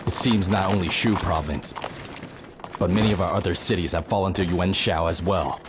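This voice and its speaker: A man playing a measured, authoritative warlord minister of ancient China in a video game cutscene. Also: deep voice, steady tone, background noise, natural voice. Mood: grave.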